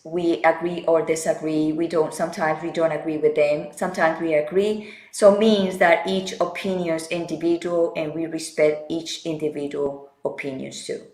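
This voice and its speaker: A woman's voice: on the low side, little pitch movement, normal speed, hardly any pauses, a little mellow, reverberant, low-quality recording. A woman in her forties hosting a casual talk show, enthusiastic and laughing often. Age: 40s. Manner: enthusiastic, laughs often, non-native phrasing. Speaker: podcast host. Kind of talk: casual chat.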